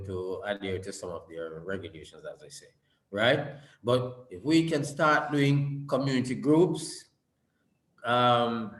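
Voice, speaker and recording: A man giving an earnest summit speech over a video call, often repeating words as he builds his points. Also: fairly low pitch. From Jamaica. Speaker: summit speaker. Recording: roomy echo.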